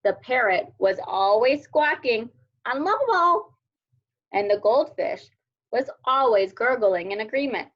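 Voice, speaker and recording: A woman reading a children's picture book aloud as a storyteller, with expressive, deliberate delivery. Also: on the high side. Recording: dull lo-fi sound.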